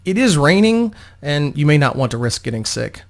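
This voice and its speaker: A man's voice: a little high, big pitch swings, normal speed, gravelly. A man voicing a female bodyguard and adventurer who is courteous, practical and protective, in a storybook reading. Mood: friendly, reassuring.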